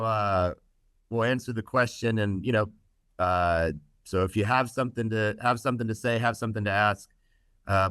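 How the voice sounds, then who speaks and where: A man's voice: mid-range voice, bright, forceful tone, no room sound. A man hosting a podcast casually, joking with his guests and using lots of fillers. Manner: joking, filler-heavy, upbeat. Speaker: podcast host.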